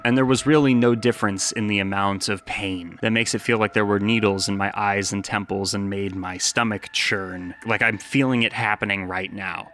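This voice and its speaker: Lower-voiced man narrating over ambient noise. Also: a bit bright. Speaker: video essayist and narrator. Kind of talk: scripted analysis narration with casual asides.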